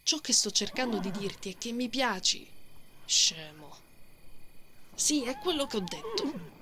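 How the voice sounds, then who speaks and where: A woman's voice: fairly high pitch, very animated, unhurried, bright, forceful tone, exaggerated voice. A woman playing a brave, stubborn and protective female knight in a fantasy ASMR roleplay. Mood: stubborn, defensive. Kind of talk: ASMR romantic fantasy roleplay, acted monologue.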